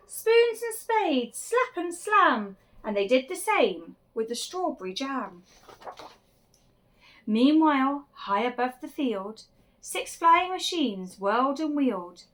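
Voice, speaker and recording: A woman reading a rhyming children's picture book aloud, expressively and with deliberate dramatic emphasis. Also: high voice, a bit bright. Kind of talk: animated children's story reading, rhyming verse.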